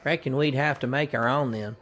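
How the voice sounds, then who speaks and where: An actor playing a cheerful, simple-minded young outlaw sidekick who rambles guilelessly in a folksy rural American Western drawl, in a comedy audio drama. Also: male voice, medium pitch, brisk, fairly bright tone, slight background noise, close to an everyday voice. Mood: chirpy, musing.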